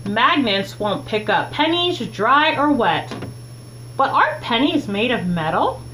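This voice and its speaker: A young adult woman reads a children's book aloud with expressive, deliberate phrasing, recorded in a small room at home on a webcam mic. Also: a little high. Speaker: teacher reading a children's book. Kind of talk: read-aloud storytime narration. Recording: clearly noisy, humming home recording.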